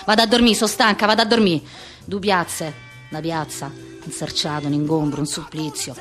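A woman's voice: low voice, fairly even intonation, normal speed, fairly bright tone, soft background music. An actress playing a neurotic, overwhelmed Roman street woman, rattling off staccato lists in a theatrical monologue with a Roman accent. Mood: restless, anxious. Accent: Roman Italian. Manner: rambling, staccato lists of short phrases.

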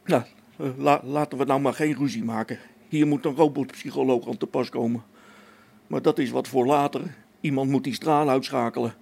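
A man's voice: mid-range voice, steady tone, moderate pace, creaky, a little mellow, slightly rough. An amateur actor in a radio play as an anxious space station technician, a man who stammers and hesitates, agitated. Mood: flustered, weary.

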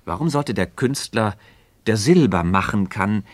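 Deep-voiced, patient learned mentor. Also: male voice, expressive, no room sound. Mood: persuasive. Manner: measured, didactic, rhetorical. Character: learned male Freemason friend, patient, earnest, gently ironic teacher. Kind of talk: scripted philosophical dialogue in German radio drama.

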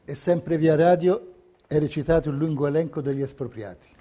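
A man with a soft, dark-toned and slightly high voice narrates a documentary stage piece. He reads the script in measured phrases, as dramatic history and testimony. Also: fairly even intonation.

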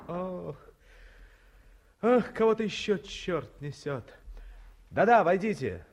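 An actor playing a playful, gallant young bachelor son in a 1950s radio play. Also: male voice, sits high, lively intonation, very slow, pauses often, husky, exaggerated voice.

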